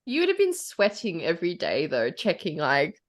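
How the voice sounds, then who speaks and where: A woman's voice: lowish voice, huge pitch swings, normal speed. A woman co-hosting a podcast in casual chat, giggly and rambling, saying "like" a lot. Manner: giggly and rambling, heavy use of "like". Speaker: podcast co-host.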